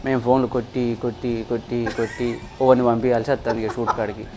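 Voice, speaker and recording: A man's voice: mid-range voice, level tone, fast talker, a little mellow. A man with a Telangana Telugu accent chatting casually as a vlogger, explaining step by step with examples to his friends. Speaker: vlogger demonstrating a gadget.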